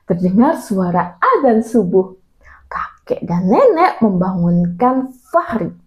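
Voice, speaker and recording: A woman's voice: medium pitch, big pitch swings, slow pace, dull audio. A woman telling a story for young children, often addressing her listeners directly with questions.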